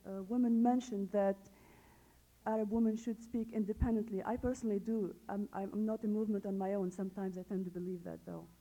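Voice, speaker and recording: A woman speaks up from the floor at a conference, wry and self-assured. Her voice is very dark and mellow. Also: medium pitch, low-quality recording. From Tunisia. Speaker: conference attendee. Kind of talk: comment from the floor.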